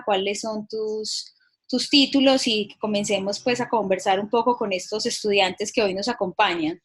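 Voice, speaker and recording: A woman's voice: medium pitch, fairly bright tone. A woman hosting a video conference who introduces the guest lecturer in a polite, formal way with some hesitation. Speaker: host, moderator.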